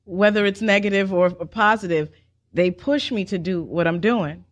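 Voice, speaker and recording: A woman's voice: mid-range voice, normal speed, dull audio. A woman speaking as a musician guest, giving casual answers in a radio interview. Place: radio studio.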